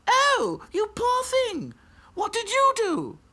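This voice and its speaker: A man's voice: very high voice, wildly swooping pitch, takes it slowly, sharp tone, pitched above the performer's own voice, full character voice. A man voicing a haughty, gushing female princess doll in a children's storybook. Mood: alarmed. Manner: snooty, gushing.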